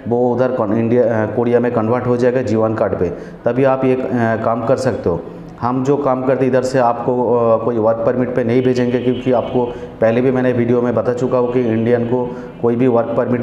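A man in his forties, a channel host, explaining job openings to camera in a steady, repetitive way, with many fillers and restarts, on a lapel mic in a small office. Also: medium pitch, muffled recording. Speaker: visa agent and channel host. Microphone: clip-on lapel mic. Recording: echoey office with faint room noise.

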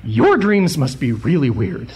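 A woman playing an excitable, sarcastic, grumbling sidekick in a comic pulp adventure audio drama. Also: a bass voice, unhurried, a crowd in the background, heavily acted. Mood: exasperated.

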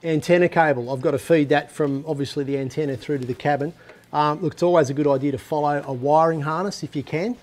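A man's voice: fairly high pitch, fairly even intonation, quick pace, darkish tone, a little hiss or hum. A man in his fifties with an Australian accent, presenting a casual how-to in a laid-back, matter-of-fact, colloquial way. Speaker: presenter of DIY car videos. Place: garage shed and inside a parked vehicle.

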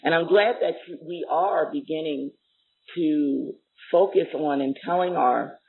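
A woman's voice: low voice, measured pace, creaky, husky. A woman hosting a podcast, talking conversationally with her guest. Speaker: podcast co-host. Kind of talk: conversational interviewing. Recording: narrow, phone-like sound.

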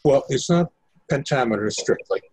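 An older man, a playwright explaining his work in halting, precise, measured phrases on a video call. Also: medium pitch, animated, a little mellow, husky. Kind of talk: considered explanation in discussion.

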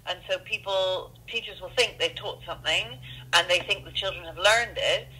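Bright-voiced woman on a phone line. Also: fairly low pitch, moderate pace, breathy edge. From grew up in Canada. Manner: earnest, explanatory, many ums. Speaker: school headmistress, interview guest. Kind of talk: casual phone interview. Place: school office. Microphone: telephone.

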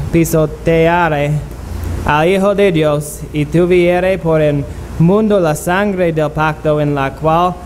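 A man preaching a sermon in a church, pausing in short phrases for an interpreter. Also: fairly high pitch, slight background noise. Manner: slow, halting, pausing for translation. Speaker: guest preacher. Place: church sanctuary.

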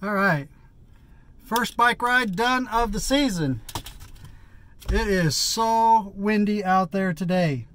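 A man's voice: high-pitched, takes it slowly, long pauses, background noise. A tired man in his fifties chatting in a rambling, casual vlog from inside a parked vehicle, still catching his breath after a bike ride. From United States. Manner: rambling, chatty, self-correcting. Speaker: vlogger. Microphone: phone or action camera at arm's length.

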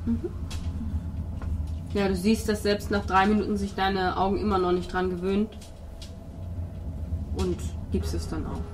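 A woman narrating a tabletop roleplay as game master, with suspenseful, drawn-out storytelling and pauses. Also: mid-range voice, flat delivery. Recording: noisy tabletop session recording.

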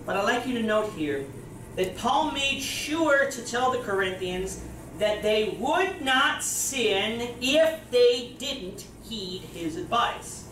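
A man's voice: very high voice, animated, slow, fairly bright tone, slight background noise. A young man preaching a sermon in a church meeting room, explaining and gesturing broadly as he speaks. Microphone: far camera. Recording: roomy hall, distant mic.

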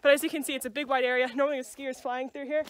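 A woman's voice: high voice, little pitch movement, very fast. A young woman chatting casually and enthusiastically to her phone camera as a student vlogger. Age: young adult. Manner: upbeat, enthusiastic. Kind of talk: casual vlog talk to camera. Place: small kitchen, gas station, car and outdoor trail. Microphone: phone at arm's length. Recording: faint background noise.

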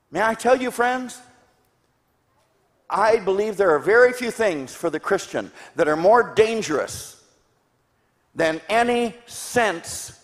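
A man in his sixties preaches to a church congregation through a handheld microphone. His voice sits fairly high for a man, and he leans hard on key words, asking questions and pausing for weight. The sound rings with the long reverb of a big hall. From grew up in Tanzania. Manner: emphatic, with rhetorical questions and deliberate pauses for stress. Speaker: guest preacher. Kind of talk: sermon to a congregation. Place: large church sanctuary, indoors.

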